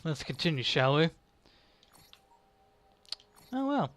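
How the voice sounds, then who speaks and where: A man's voice: a little high, very animated, takes it slowly, fairly bright tone. A man casually commenting over his video game playthrough, goofy and playful, humming and making silly noises. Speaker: gameplay commentator. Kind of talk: casual let's-play commentary.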